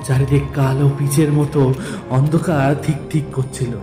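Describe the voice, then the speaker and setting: A man narrating a literary story dramatically in the first person, deliberate and theatrical, with drawn-out pauses. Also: medium pitch, flat delivery. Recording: echoey voice with music bed. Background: background music.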